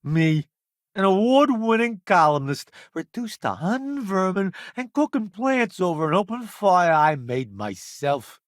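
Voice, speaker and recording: An actor playing a vain, chatty celebrity food columnist turned space crew sidekick in a video game, with a theatrical, smug, wisecracking delivery. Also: male voice, high-pitched, big pitch swings, measured pace, no echo, clean studio sound. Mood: wry, showy. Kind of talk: video game companion voice lines.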